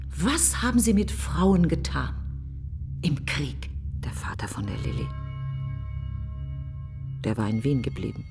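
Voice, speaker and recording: A woman's voice: sits low, warm tone. An actress playing a grieving, self-accusing woman who broods in fragmented questions in a literary radio play monologue. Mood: bitter, then bitter, accusing. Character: grieving, self-accusing woman mourning her best friend.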